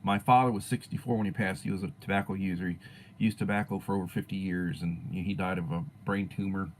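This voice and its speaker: A man in his sixties, a teacher chatting casually on his own livestream and answering viewers, rambling and letting his sentences fade softly at the ends. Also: low-pitched, fairly even intonation, fast talker, a little hiss or hum. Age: 60s. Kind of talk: casual livestream chat reading viewer comments.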